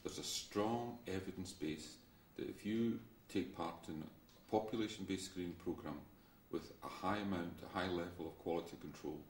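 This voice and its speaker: A man giving an interview statement as a researcher, explaining points clearly one by one. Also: sits low, moderate pace, hardly any pauses. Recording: roomy, distant, faint noise.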